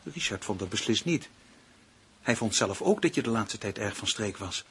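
An actor in a radio play playing a cold, calculating man who taunts his partner in a theatrical, deliberate, mock-sweet way. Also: fairly low pitch, lively intonation, fairly bright tone, slight background noise. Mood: smug, calculating. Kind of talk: Dutch radio play acting.

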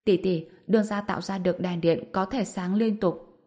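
A woman's voice: mid-range voice, steady tone, normal speed, mellow, lightly acted. Woman acting eager, admiring young village wife. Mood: excited, helpful.

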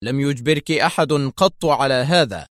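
A man's voice: mid-range voice, lively intonation, normal speed, no echo, studio quality, close to an everyday voice. A man playing a stern, principled male intelligence officer in audiobook dialogue, speaking firmly and with emphasis. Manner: firm, strict, emphatic.

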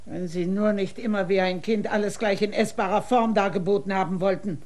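An actress playing a sharp-tongued female village innkeeper in a radio drama, with a cutting, scolding way of talking. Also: medium pitch, fairly even intonation, a little fast, close to an everyday voice.

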